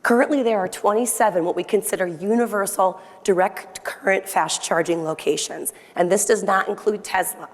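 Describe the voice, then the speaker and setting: A woman speaking on a conference panel as a state environmental official, friendly and conversational, her voice a little hoarse from losing it. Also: medium pitch, normal speed, few pauses. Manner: friendly, conversational presenter with rhetorical questions. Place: conference hall. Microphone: handheld microphone. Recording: long hall reverb.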